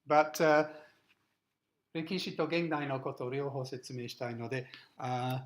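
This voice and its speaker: A man's voice: medium pitch, level tone, normal speed. An English man giving a classroom lecture, explaining step by step and often checking with "okay?" and "uh". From England. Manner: plain explanatory, frequent "okay?" checks and "uh" fillers. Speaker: university lecturer. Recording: echoey classroom, distant microphone.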